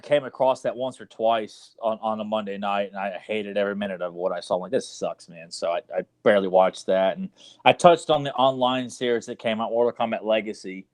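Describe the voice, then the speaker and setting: A man's voice: on the low side, fairly even intonation, fairly quick, no room sound. A man co-hosting a podcast, chatting casually in a blunt, opinionated and rambling way with some mild swearing. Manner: blunt, opinionated, mildly profane. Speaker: podcast co-host. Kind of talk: casual chat about video game movies.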